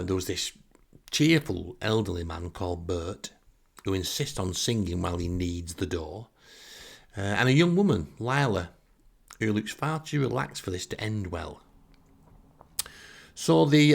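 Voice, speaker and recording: A man's voice: on the low side, vocal fry. A man with a Northern English accent telling comic first-person stories in a wry, self-deprecating way with theatrical emphasis. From northern England. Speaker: storyteller and host.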